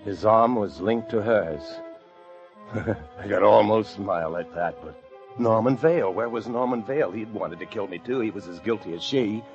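An actor playing a paranoid fugitive husband, narrating an old-time radio thriller with intense dread. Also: male voice, on the low side, moderate pace, natural voice. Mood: grim. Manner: intense, breathless dread, swinging from brooding to frantic shouts. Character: desperate, paranoid fugitive husband confined in a locked room. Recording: dull old radio recording with music.

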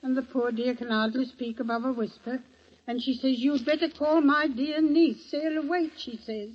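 A woman's voice: sits high, steady tone, moderate pace, breathy edge. An actress playing a garrulous, devoted landlady in an old radio drama. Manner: garrulous, dialect grammar. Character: chatty Irish landlady, gossipy and devoted. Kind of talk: 1940s radio acting. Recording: dull old radio recording.

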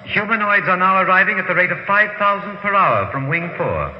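An actor playing a polite, relentless humanoid robot servant in a radio drama, speaking with formal, unruffled courtesy. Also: male voice, high voice, animated, fairly quick, a little hiss or hum. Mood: calm, persuasive. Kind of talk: old-time radio drama acting. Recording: dull, lo-fi old radio recording.

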